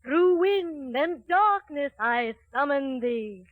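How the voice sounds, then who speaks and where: Vain evil queen, high voice, slow imperious spell-chanting, 1930s radio play. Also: animated, no echo, narrow, tinny audio. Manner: imperious, theatrical incantation.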